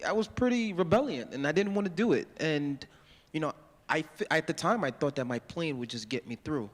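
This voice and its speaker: Earnest man from Ghana in a reverberant hall. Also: medium pitch. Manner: earnest storytelling, quotes mentors in a firm voice. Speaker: panelist, musician and volunteer mentor. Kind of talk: live panel discussion. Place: large hall. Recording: long hall reverb from a live panel.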